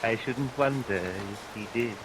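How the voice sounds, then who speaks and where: A man's voice: medium pitch, measured pace, close to an everyday voice. Man acting sinister, pompous madhouse keeper, hissy recording. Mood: unctuous, sinister. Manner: formal, unctuous, mock-solemn. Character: callous, smooth-talking male madhouse keeper, sinister and pompous. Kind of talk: 1940s radio melodrama acting. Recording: hissy vintage radio recording, rain over it.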